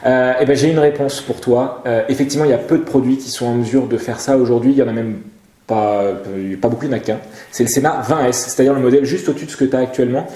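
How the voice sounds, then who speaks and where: A man explains things quickly and informally in a dark, relaxed voice. He sounds like a casual show presenter, recorded in a roomy, echoing space. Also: mid-range voice. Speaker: web show presenter and motorcycle gear retailer.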